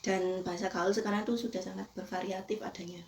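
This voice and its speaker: A woman's voice: medium pitch, level tone, quick pace, a little mellow, echoey room, slight background noise. Female student, 20s, conversational interviewee. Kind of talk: casual interview answers.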